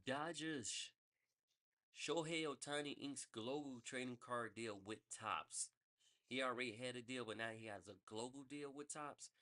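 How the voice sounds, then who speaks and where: A man's voice: medium pitch, a little slow, pushed and bright. A man hosting a sports podcast, reading baseball news in a loose, rambling way and correcting himself as he goes. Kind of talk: casual sports news rundown.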